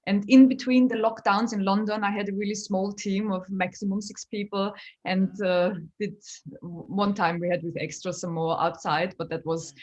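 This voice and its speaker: A woman's voice: medium pitch, flat delivery, normal speed, a little mellow, dull audio. Chatty Austrian woman comedian. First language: German. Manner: chatty, laughs often while telling stories. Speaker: comedian, guest. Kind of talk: casual interview chat.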